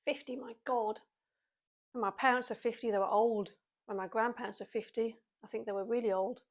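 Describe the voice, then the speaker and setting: A woman's voice: a little high, steady tone, brisk, lo-fi sound. A British woman in her forties, chatting casually to camera with wry, self-mocking asides. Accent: British English. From Britain. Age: 40s. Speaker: vlogger.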